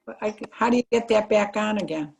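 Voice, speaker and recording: Older woman, medium pitch, casual chat, class participant, video call. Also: fast talker, gravelly, a little mellow, breathy edge.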